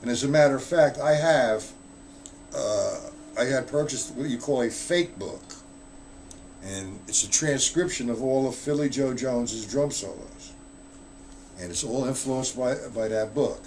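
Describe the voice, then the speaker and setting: A man's voice: medium pitch, measured pace, darkish tone, slight background noise. A man giving a casual live-streamed drum lesson, explaining rudiments in a loose, chatty way and answering viewers in the chat. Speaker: drum teacher.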